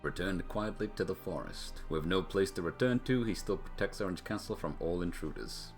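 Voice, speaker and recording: A man's voice: low voice, moderate pace. A man streaming a game, reading story text aloud with brief casual remarks of his own. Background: music.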